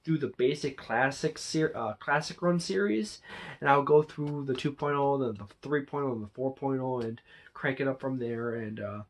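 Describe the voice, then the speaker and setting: A person giving a casual, unscripted review monologue in a halting, rambling way, often correcting themselves. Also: mid-range voice. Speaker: movie reviewer and channel host.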